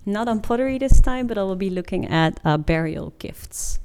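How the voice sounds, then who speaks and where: A woman's voice: medium pitch, expressive, moderate pace, darkish tone, clean tone. A woman in her twenties giving an informative presentation in an informal, explanatory way. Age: 20s. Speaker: master's student presenter.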